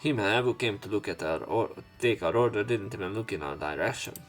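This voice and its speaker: A low-pitched man narrates a visual novel as a let's play host over clear background music. He reads the text aloud, adds casual remarks, and trips on words before fixing them. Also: a little fast. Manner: stumbles over words and corrects himself. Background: game music behind the voice.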